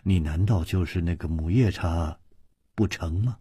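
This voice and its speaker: A man voicing a brash, teasing drinker in a storybook, muttering and stammering with drawn-out interjections. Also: low voice, dark tone, breathy edge, dry recording, clean studio sound, pitched below the performer's own voice. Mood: taunting. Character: brash, teasing male drinker, a blustering rascal.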